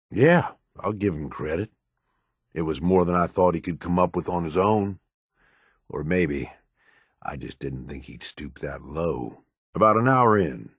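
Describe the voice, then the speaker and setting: A hardened middle-aged raider from a video game, with a very low, rasping voice. He talks in a blunt, folksy, tough way, cynical but gruffly loyal. The sound is close and dry. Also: dry recording, exaggerated voice. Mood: amused. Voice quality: gravelly, rough, low. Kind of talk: video game character dialogue.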